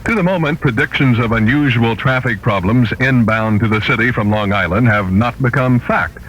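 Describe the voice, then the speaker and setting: A man with a mid-pitched voice reads scripted news. He is a radio newscaster of the 1970s. The recording carries faint noise. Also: normal speed, fairly bright tone, muffled recording.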